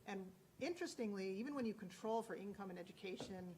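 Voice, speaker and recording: A woman's voice: mid-range voice, expressive, fast, darkish tone, a little hiss or hum, poor audio. A woman political scientist speaking on a panel, thoughtful, with occasional false starts. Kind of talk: academic panel discussion.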